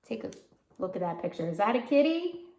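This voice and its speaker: A woman reading a children's storybook aloud like a teacher, pausing to explain words and put questions to listeners. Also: medium pitch, big pitch swings, vocal fry, a little mellow. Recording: roomy, echoey sound.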